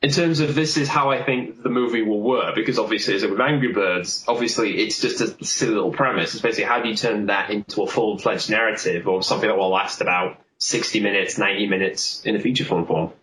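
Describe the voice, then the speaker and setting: Man, low pitch, echoey room, casual film talk, rambling, explanatory. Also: quick pace, hardly any pauses, fairly bright tone, slight background noise. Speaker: podcast host. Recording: roomy, echoey sound.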